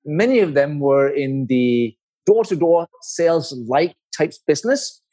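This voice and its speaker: A middle-aged man with a Danish accent, telling his story as an interview guest in measured, explanatory phrases. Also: on the high side, expressive, mellow. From Denmark.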